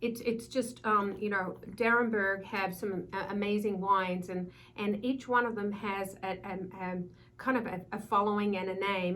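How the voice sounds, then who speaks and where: A woman's voice: medium pitch, a bit bright. A middle-aged Australian woman, a wine importer, explaining things in a friendly casual chat with plenty of fillers.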